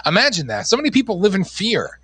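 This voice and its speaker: A man's voice: sits high, huge pitch swings, fast talker, sharp tone, breathy edge, no room sound. A man co-hosting a podcast, ranting in a sarcastic way and laughing often. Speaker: podcast co-host. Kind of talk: casual podcast rant.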